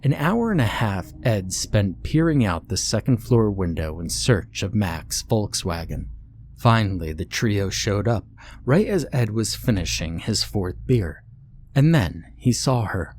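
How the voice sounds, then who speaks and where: A man with a fairly low voice narrates a scripted horror story. There is a faint noise behind his reading. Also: expressive, a little slow, a little mellow.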